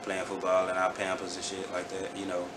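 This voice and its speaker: Young man for a relaxed interview, very low voice, roomy echo, mic far off. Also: fairly quick, very noisy. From Houston, Texas. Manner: laid-back, rambling with frequent restarts and fillers. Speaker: rapper guest. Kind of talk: casual interview chat.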